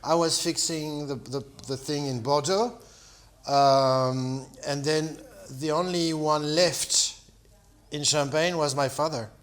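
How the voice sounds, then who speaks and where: A man from France, a champagne producer telling stories in a rambling, animated way, full of restarts and "you know". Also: fairly high pitch, slow. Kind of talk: storytelling in casual conversation.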